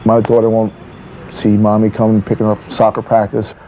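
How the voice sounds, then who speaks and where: A man's voice: lowish voice, completely flat delivery, normal speed, mellow, slight background noise, poor audio. A middle-aged man talking casually and unscripted in an interview as a father, plainspoken and repeating phrases for emphasis. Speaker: father being interviewed at home.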